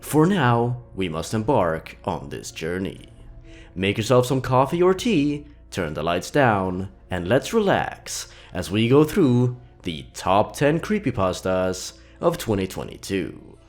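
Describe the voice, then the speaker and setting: A man hosting and narrating horror stories in a scripted, deliberate and dramatic storytelling delivery. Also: fairly low pitch, very animated, soft background music.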